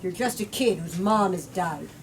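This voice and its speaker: A woman voicing a calm, protective father in a storybook reading, quiet, gentle and grave. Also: lowish voice, normal speed, distant mic, a little hiss or hum, close to an everyday voice, poor audio.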